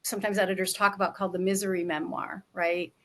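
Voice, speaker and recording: Female interviewer, conversational and expressive, mid-pitched voice, chatty and emphatic. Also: fast. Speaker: interviewer and memoir author.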